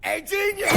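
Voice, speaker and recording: An actor playing a brash, bossy, needling American mobster in a video game cutscene. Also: male voice, very high voice, gravelly, heavily acted. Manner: mocking, slangy tough talk. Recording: faint background noise.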